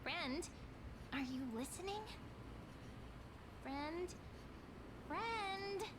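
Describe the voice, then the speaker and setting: High-voiced, unhurried storyteller companion. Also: female voice, expressive, background noise, heavily acted. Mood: playful teasing. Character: playful, poised female travelling companion and storyteller. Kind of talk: game story dialogue and narration.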